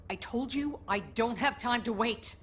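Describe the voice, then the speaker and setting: A woman's voice: fairly high pitch, measured pace, slight background noise, natural voice. An actress playing a desperate, defiant armed mother in a sci-fi game, intense and threatening. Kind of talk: dramatic game voice acting.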